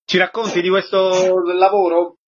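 A man's voice: high-pitched, little pitch movement, moderate pace. A man hosting a radio interview, reading introductions and putting questions to his guest. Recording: dull, noisy lo-fi recording.

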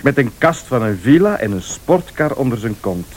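An actor playing a slightly drunk, teasing, jovial friend who rambles haltingly, in a Flemish radio thriller. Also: male voice, mid-range voice, big pitch swings, darkish tone, natural voice. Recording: radio drama with clear tape hiss.

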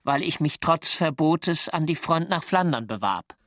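A First World War sailor telling his diary in a radio play. His voice sits a little high for a man. He speaks in a measured, clearly articulated way, with a dry, wry storyteller's tone. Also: lively intonation, over loud music. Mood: formal, earnest. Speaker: narrator and actor in audio drama. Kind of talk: literary diary narration, radio drama.

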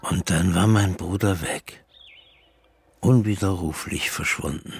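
A low-voiced man narrates a haunted childhood memory for a radio play. His delivery is gravelly and very unhurried, with deliberate pauses, and music runs beneath him. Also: exaggerated voice. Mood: haunted, measured. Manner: slow, deliberate storytelling with pauses. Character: brooding man haunted by his brother's disappearance, recalling childhood. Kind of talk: radio drama first-person narration. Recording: music under the voice.

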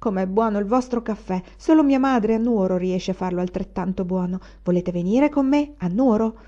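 A woman's voice: mid-range voice, moderate pace, mellow, close to an everyday voice. Woman doing a young man student, exuberant, raised voice, lo-fi. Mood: elated. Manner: exuberant, boastful. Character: young male student, eager and excitable. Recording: dull lo-fi recording.

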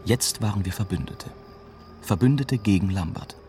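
An actor playing a young German journalist who narrates his adventure in measured first-person radio drama style. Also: on the low side, lively intonation, close to an everyday voice. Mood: wry, measured. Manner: measured, storytelling. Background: prominent music.

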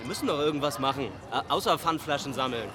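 A confrontational teenage bully acted by a man, sneering in quick, jumpy bursts. It is radio drama played on a street with a crowd behind. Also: medium pitch, lively intonation, close to an everyday voice. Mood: taunting. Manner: confrontational, taunting.

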